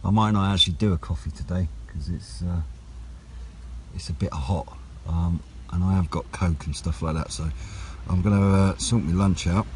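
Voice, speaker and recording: British male hobby vlogger, lowish voice, unhurried and low-key, recorded outside over clear background noise and vehicles. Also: steady tone. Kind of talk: casual outdoor vlog chat. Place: outdoors on wooded high ground by a road. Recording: outdoor noise with passing vehicles.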